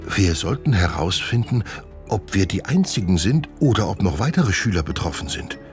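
A man's voice: low voice, very animated, moderate pace, faint music behind. A man voicing a startled chimp schoolgirl. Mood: puzzled, thoughtful. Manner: surprised, questioning, sensible.

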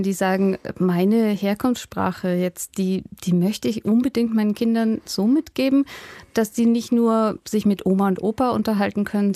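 A woman speaks as a linguistics professor in an interview. Her voice is mellow and warm, and she explains with lively everyday examples and laughs easily. The recording is dry and close. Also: medium pitch, no echo. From Upper Franconia, Germany. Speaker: linguistics professor and author, guest.

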